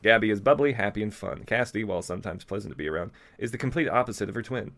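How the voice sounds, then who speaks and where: A man's voice: on the low side, rapid-fire, fairly bright tone. A man reading fan fiction aloud as a co-host, with casual, amused, irreverent asides.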